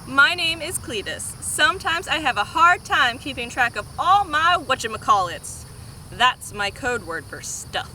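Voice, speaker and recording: A woman's voice: high-pitched, sing-song, a little slow, bright, forceful tone, a little hiss or hum, heavily acted. A woman reading a storybook as an easygoing, disorganized schoolboy telling his own story. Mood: matter-of-fact. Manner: plain storybook read-aloud.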